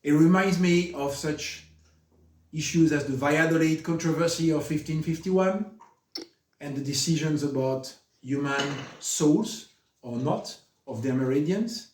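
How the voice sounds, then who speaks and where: A middle-aged French man with a French accent, giving a formal spoken introduction at a book discussion, earnest and halting, with frequent fillers. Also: fairly high pitch, darkish tone, a little hiss or hum. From France. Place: room with an audience. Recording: echoey room, mic at a distance.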